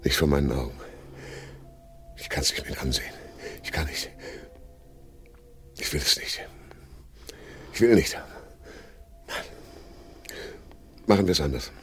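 An actor playing a deranged, vain theatre director and hypnotist in a radio crime drama, with a commanding, theatrical delivery. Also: male voice, rumbling low, expressive, unhurried, pauses often, a little hiss or hum, heavily acted. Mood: anguished.

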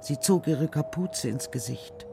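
A narrator reading a children's radio drama story in a measured, serious, literary style. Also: mid-range voice, vocal fry, music in the background.

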